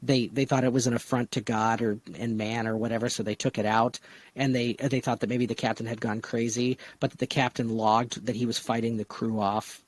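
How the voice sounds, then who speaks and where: A man casually brainstorming on a discussion podcast, talking in a halting way with vague, trailing asides. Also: medium pitch, a little mellow. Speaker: podcast co-host pitching story ideas.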